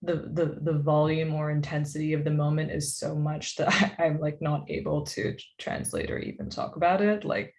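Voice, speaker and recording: A woman in her twenties reflecting casually as a panelist in a discussion, feeling her way through thoughts in a halting, searching manner. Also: sits low, fairly even intonation, a little mellow. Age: 20s. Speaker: panelist, artist.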